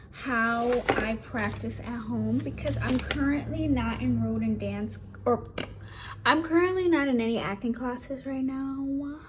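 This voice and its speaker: Chatty young woman with a high voice. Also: darkish tone, a little hiss or hum, low-quality recording. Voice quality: naturally high voice. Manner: chatty, rambling, self-correcting. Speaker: aspiring actress vlogger. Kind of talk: casual vlog talk to camera. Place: home and outdoor walk.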